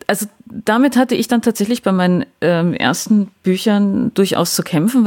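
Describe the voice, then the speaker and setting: Woman novelist as interview guest: wry and reflective, often pausing and restarting mid-sentence. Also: a bass voice, darkish tone. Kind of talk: casual interview talk.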